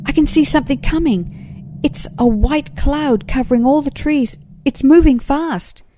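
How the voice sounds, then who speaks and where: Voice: sits high, measured pace, warm tone, a little hiss or hum, voice pushed up. A woman voicing a tiny, dainty female pygmy possum who calls out urgently in a children's storybook. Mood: alarmed. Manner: crying out urgently.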